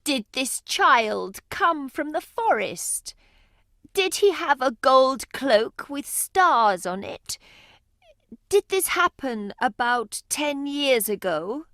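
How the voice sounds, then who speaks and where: A woman's voice: high-pitched, very animated, slow pace, bright, forceful tone, dry recording, clean studio sound, full character voice. A woman playing a poor, weary, loving beggar mother in a storybook tale, emotional and pleading. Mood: anxious questioning. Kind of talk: dramatised storybook reading.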